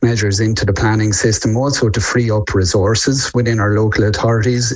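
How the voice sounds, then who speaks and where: A man's voice: fairly low pitch, darkish tone, dry recording. A man, a government minister, speaking steadily with some ums in a news clip. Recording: dull, lo-fi clip.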